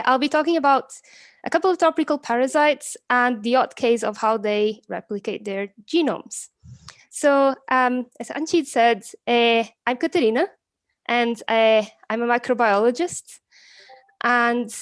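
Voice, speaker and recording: A woman presents informally at an online conference. Her voice sits a bit high and the sound is very dry. She is chatty, with some hesitation as she phrases things. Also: measured pace, no room sound. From Portugal. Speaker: guest speaker, postdoctoral researcher. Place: online live stream, video call.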